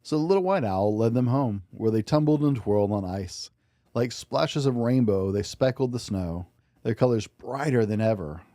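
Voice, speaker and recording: Male storybook reader, dark soft tone, gentle expressive bedtime delivery, dry sound. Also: mid-range voice, normal speed, dry recording. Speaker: podcast host and storybook reader. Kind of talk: expressive read-aloud of a children's picture book.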